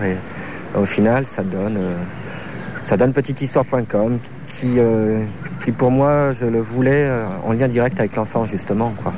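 A man in his thirties, a choreographer from France, answering interview questions in a halting way, searching for words with many fillers. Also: on the low side, background noise. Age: 30s.

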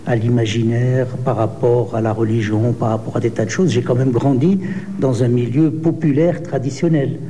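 A man in his seventies, born in Algeria, answering interview questions slowly with many hesitations. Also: medium pitch, steady tone, dark tone, big-room sound, slight background noise. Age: 70s. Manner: slow, hesitant, many pauses and fillers. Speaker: poet.

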